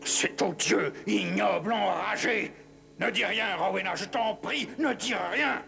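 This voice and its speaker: An actor playing an indignant, pleading, desperate man in a cartoon, with a tense, gravelly voice. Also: sits high, measured pace, pushed and bright, soft background music, exaggerated voice. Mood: outraged, pleading. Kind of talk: dubbed cartoon acting.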